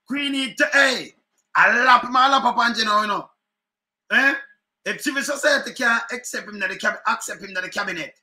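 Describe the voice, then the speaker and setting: Jamaican guy ranting into his webcam at home, high voice, real bright and sharp, keeps repeating himself. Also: a little fast. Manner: animated, chuckling, repeats phrases, gestures a lot. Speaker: online commentary host. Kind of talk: casual political commentary in patois. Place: home room, indoors. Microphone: webcam at desk.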